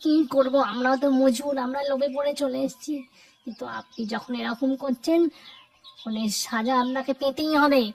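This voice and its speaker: A woman's voice: sits high, steady tone, normal speed, pauses often, recorded from a distance. A woman voicing an earnest, aggrieved male village labourer in a cartoon, pleading and reproachful. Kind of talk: cartoon character acting.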